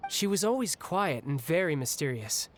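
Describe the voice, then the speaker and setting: An actor playing a young, restless, battle-hungry warrior in a video game, speaking in a breezy, reflective way. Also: male voice, on the high side, big pitch swings, moderate pace, a bit bright, slight background noise. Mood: pensive. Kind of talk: video game cutscene acting. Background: game music.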